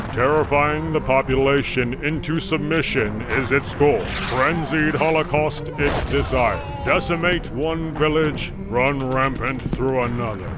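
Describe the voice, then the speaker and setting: A grave, ominous story narrator, performed by a man. He speaks slowly at a medium pitch in dramatic scripted narration, with clear music beneath. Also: lightly acted.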